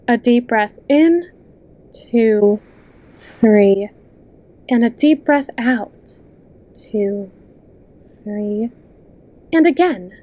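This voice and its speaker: A woman playing a possessive, manipulative best friend and hypnotist in an ASMR roleplay, coaxing and condescending in a soft, intimate voice, speaking straight to the listener. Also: on the high side, highs cut off. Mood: soothing, controlling. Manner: slow, coaxing, condescending, with lingering pauses.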